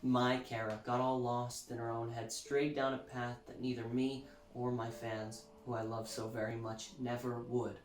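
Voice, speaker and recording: An actor playing a showy, self-satisfied celebrity musician, delivering a gushing, theatrical sales pitch with dramatic emphasis. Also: male voice, little pitch movement, normal speed, hardly any pauses, lightly acted. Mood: indignant, smug. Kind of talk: theatrical infomercial-style pitch in an audio drama. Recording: roomy echo, music underneath.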